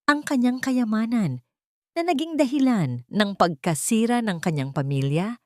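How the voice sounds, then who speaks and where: A woman narrator reading a scripted dramatic audio story in a measured, theatrical storytelling way. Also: low voice, darkish tone, no echo, clean studio sound.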